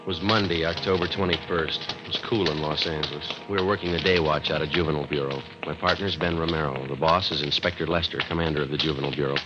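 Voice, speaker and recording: An actor playing a terse, matter-of-fact American police sergeant in a radio crime drama, speaking in a clipped, deadpan way. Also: male voice, a bass voice, fast talker, hardly any pauses, loud music behind the voice. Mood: flat, businesslike. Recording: noisy, clicking old radio recording, dull.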